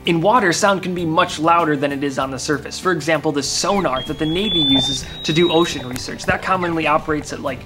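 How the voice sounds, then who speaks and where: A man's voice: fairly high pitch, brisk, few pauses, over loud music. A man in his twenties with an American accent, hosting a science video in a casual, conversational way to camera. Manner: informal, conversational. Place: open-fronted garage workshop.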